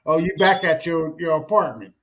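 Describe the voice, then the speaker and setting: A man's voice: on the high side, moderate pace, fairly bright tone. A middle-aged American man hosting a casual chat, talking slangily and often repeating his words. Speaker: talk show host. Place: small room indoors.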